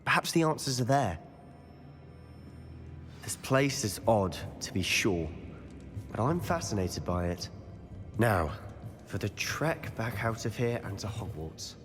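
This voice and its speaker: An actor with a British accent playing a wry, curious, bold teenage wizard schoolboy in a video game cutscene. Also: male voice, lowish voice, lively intonation, a little slow, pauses often. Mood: intrigued. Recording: long reverb, game music behind. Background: orchestral game music.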